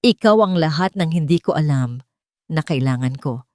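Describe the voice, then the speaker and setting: A woman storyteller voicing a tender, emotional husband and expectant father in a soft, earnest way. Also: deep voice, normal speed, no room sound, clean studio sound, lightly acted.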